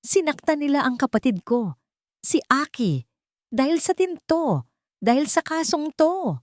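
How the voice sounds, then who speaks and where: A woman's voice: high-pitched, sing-song, a little slow, dry recording, pitched above the performer's own voice. A desperate young woman acted out by a female narrator in an audio love drama, protective and fearful. Her trembling voice swoops upward with strong emotion in a dry recording. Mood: tearful, desperate. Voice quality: trembling, breaking.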